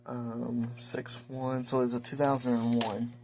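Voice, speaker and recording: A man with a noticeably creaky, gravelly voice hosts a casual hobby video. His delivery is informal and halting, like an unscripted walk through a collection. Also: medium pitch, flat delivery, a little mellow, soft background music. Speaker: video host, radio collector. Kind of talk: casual showcase narration.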